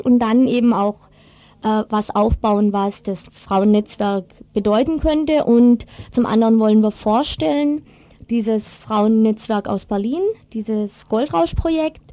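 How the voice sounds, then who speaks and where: Mellow higher-voiced woman explaining in an interview. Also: dry recording. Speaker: women's project organiser being interviewed.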